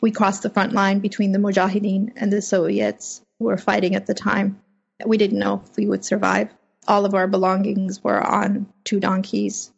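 Afghan-born woman, persian native, talks slow and thoughtful, husky voice over dull home mic. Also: medium pitch, flat delivery. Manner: measured, reflective. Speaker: journalist, podcast guest. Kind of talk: interview over remote link. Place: home office room. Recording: muffled lo-fi remote audio.

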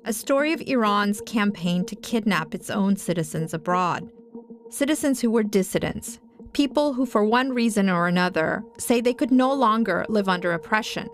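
A woman reporter from Afghanistan, a native Farsi speaker, narrating a documentary in a measured, serious way. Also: medium pitch, expressive. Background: music.

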